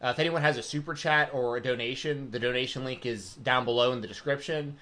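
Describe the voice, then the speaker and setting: A man's voice: medium pitch, fast. Laid-back man hosting a live chat. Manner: relaxed, rambling, many fillers and restarts. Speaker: podcast host. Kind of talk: casual live-stream chat.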